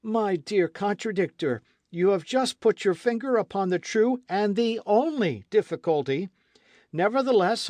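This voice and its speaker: A bold, playful adventurer, put on by a male audiobook reader speaks in a high, loud, sing-song voice. He sounds supremely confident, almost teasing. The sound is dry and close. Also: dry recording. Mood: breezy. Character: bold, witty adult Frenchman adventurer. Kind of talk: audiobook character reading.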